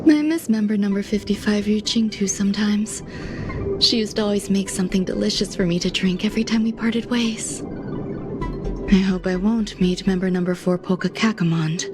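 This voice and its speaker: Actress as aloof genius woman scientist, game dialogue, mid-pitched, measured, formal, softly breathy, calmly detached, clear background music. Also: lightly acted. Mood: calm, wistful. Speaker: game character voice actor. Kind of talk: scripted game dialogue, slow reflective monologue.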